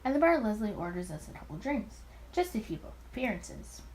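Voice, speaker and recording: A woman's voice: mid-range voice, very animated, a little fast, a little mellow, poor audio. A woman reading a visual novel aloud with commentary, expressively and with stumbles and rereads, over some background noise. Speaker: let's player.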